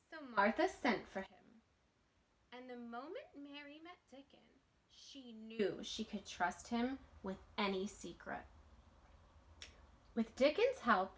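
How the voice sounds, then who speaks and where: A woman reads a picture book aloud for children in a fairly high, very animated voice. She takes her time, enunciating carefully and leaning into dramatic emphasis. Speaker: storybook reader, teacher. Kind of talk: expressive children's read-aloud. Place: small room indoors at home.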